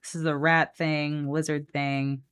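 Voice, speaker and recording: A woman chatting casually on a live game stream, playful and animated as she thinks out loud. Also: low-pitched, unhurried, clean tone. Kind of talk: casual live-stream chat while building a card deck.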